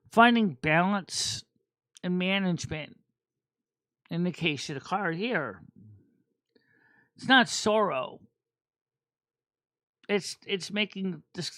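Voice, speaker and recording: A man hosting a casual chat stream about tarot cards, talking in a rambling, halting way with many fillers and restarts. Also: high voice, pushed and bright, dry recording. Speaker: host.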